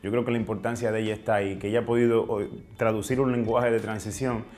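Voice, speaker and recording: A man's voice: lowish voice, level tone, fast, a little mellow. A man with a Dominican Spanish accent talking reflectively in an interview, often searching for words and ending phrases with question tags. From Dominican Republic. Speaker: writer and interview guest.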